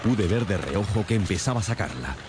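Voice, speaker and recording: Un actor que interpreta a un detective brillante, formal y preciso en una radionovela. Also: male voice, on the low side, very animated, a little fast, noisy background. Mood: confident. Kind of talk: radio drama acting.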